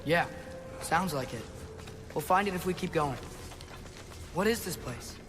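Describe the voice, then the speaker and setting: A man's voice: on the high side, expressive, a little slow, over music. An actor playing an earnest, curious teenage boy adventurer in a video game, casual and questioning. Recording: clear ambient noise behind.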